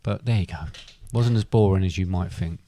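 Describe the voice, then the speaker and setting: A man's voice: low-pitched, fairly quick, dark tone. A middle-aged British man co-hosting a podcast in casual, bantering chat. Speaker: podcast co-host.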